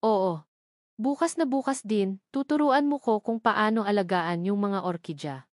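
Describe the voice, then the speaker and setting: A woman plays a young woman deeply in love, tender and set on standing by her partner. It is romantic drama dialogue in a middle-pitched voice. The sound is close and dry. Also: measured pace, no echo, close to an everyday voice. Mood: encouraging.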